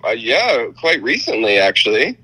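A man's voice: mid-range voice, wildly swooping pitch, normal speed, pushed and bright, breathy edge, poor audio. A man with a New Zealand accent, a podcast guest chatting casually in laid-back slang. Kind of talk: casual chat.

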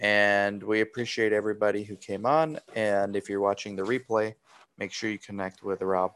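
A man's voice: on the low side, steady tone, normal speed, fairly bright tone, smooth. A man from Romania hosting a webinar, asking questions in a casual, friendly way. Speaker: webinar host. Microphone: video call.

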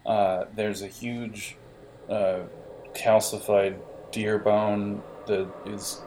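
A man narrating an improvised tabletop game as game master, in a halting, explanatory way with hesitations. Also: lowish voice, little pitch movement, mellow, a little hiss or hum, poor audio.

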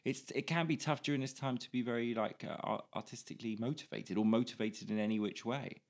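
A man's voice: medium pitch, fairly quick, gravelly. A young man from the UK, a musician, chatting casually at home in an easygoing, self-deprecating way. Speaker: musician guest. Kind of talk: casual chat.

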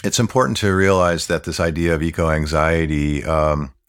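A man hosts a reflective podcast in a calm, measured way. He often pauses with fillers and lets phrases draw out. His voice sits extremely low. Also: smooth. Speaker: podcast host.

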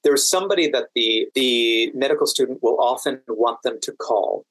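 A man answering interview questions in a measured, careful way, pausing mid-sentence as he explains. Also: medium pitch, a bit bright, no echo, poor audio. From United States, Washington DC area. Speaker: podcast guest, standardized patient and exam event administrator.

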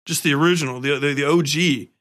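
Bright-voiced man hosting a casual chat. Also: a little high, fast. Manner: informal, profane, self-deprecating. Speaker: podcast host.

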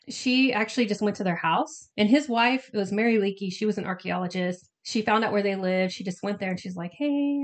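A congested woman tells a story as a podcast host in a casual, colloquial way, often acting out imagined bits of dialogue. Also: medium pitch. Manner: chatty, colloquial, frequently quoting imagined dialogue.

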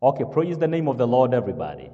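A man from Kenya with a Kenyan accent, whose first language is not English, preaching a sermon as pastor. He speaks slowly and haltingly, fills pauses with "uh" and keeps asking "all right?". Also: mid-range voice, sing-song, big-room sound, phone-quality sound. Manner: slow, halting with many "uh" fillers, checks "all right?".

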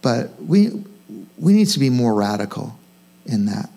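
A male pastor gives an informal, conversational sermon in a mid-pitched voice. He poses questions to himself and his listeners, then leans on key words for emphasis. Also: very animated, measured pace, mellow. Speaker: senior pastor. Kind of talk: informal sermon to own congregation.

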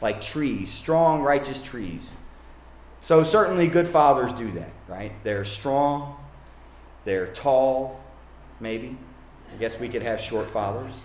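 Man, sermon, from Kentucky, conversational asides, mid pitch, echoey room. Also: expressive, slow pace, a little hiss or hum. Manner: conversational, with asides and self-corrections. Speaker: preacher.

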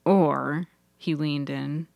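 Lowish-voiced woman narrating fiction. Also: fairly even intonation, very slow, gravelly, a little mellow, dry recording. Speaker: author and narrator.

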